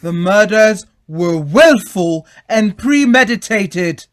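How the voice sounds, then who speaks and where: A man playing a stern, indignant gentleman in an old-time radio mystery, scornful and accusing in a clipped manner. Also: high-pitched, animated, takes it slowly, clear, resonant tone. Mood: angry, accusing. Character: stern, indignant gentleman demanding justice for his brother.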